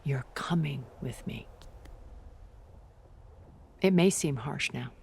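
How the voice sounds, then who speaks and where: A woman playing a commanding, possessive, centuries-old woman in a dramatic video game cutscene, speaking deliberately and imperiously. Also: a bass voice, vocal fry, a little mellow, slightly rough, exaggerated voice. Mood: cold, possessive. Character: commanding, possessive thousand-year-old woman, coldly obsessed with lost love.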